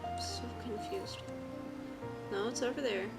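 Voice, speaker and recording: A woman's voice: fairly high pitch, unhurried. A woman streamer giving casual running commentary while she plays a video game. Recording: loud game music behind. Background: game music and dialogue.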